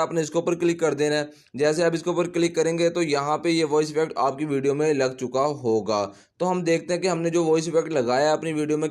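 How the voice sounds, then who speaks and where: A man's voice: on the high side, darkish tone. A man hosting a casual step-by-step app tutorial, explaining in a repetitive, instructional way. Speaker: tutorial host, teacher.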